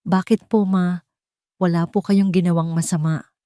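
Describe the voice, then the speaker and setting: A woman's voice: lowish voice, steady tone, moderate pace, dark tone, no echo, studio quality, close to an everyday voice. A woman voicing a protective wealthy son in an audio drama, polite, grieving and restrained, with weighty words. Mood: remorseful.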